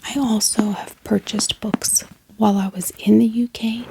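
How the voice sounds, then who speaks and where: A woman speaking in a hushed, breathy whisper, gently explaining things in an ASMR style. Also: medium pitch, steady tone, poor audio. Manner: soft, hushed, unhurried explaining. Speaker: ASMR book haul host. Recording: clear background hiss, handling clicks.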